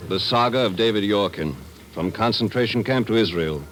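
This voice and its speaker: Male actor, very low voice, earnest airline partner, hopeful and hesitant, 1950s radio drama, faint recording noise. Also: a little fast, fairly bright tone, natural voice. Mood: heartfelt, hopeful. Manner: earnest, heartfelt, hesitant at times. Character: earnest Israeli airline part-owner, male, hopeful former refugee.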